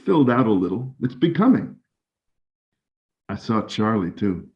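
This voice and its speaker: An actor playing a halting, evasive man in his forties in a dramatic 1930s scene. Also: lowish voice, sing-song. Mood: conciliatory. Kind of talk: dramatic two-person scene reading. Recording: dull lo-fi audio.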